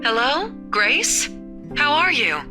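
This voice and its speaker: A man's voice: very high-pitched, very animated, takes it slowly, voice pushed up, exaggerated voice. A man voicing a tired, proud middle-aged mother from a visual novel as he reads it aloud. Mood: fond. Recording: game music behind the voice.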